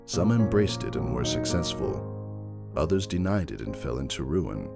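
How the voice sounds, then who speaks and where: Very animated, gravelly male story narrator. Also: a bass voice, moderate pace, loud music behind the voice. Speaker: audiobook-style reader. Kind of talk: dramatic scripted first-person story narration.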